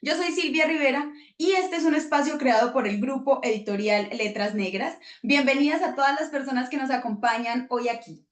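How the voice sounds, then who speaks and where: A woman's voice: sits high, normal speed, few pauses, roomy sound. A woman hosting an online book presentation, chatting effusively with the authors. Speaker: host.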